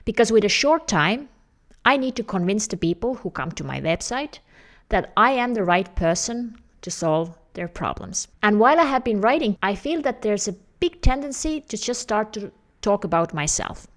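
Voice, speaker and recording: A woman's voice: mid-range voice, animated, moderate pace. A woman in her thirties with an Estonian accent, a job search coach talking straight to camera in an earnest, explanatory way. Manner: earnest, explanatory, with non-native phrasing. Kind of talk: direct-to-camera advice video.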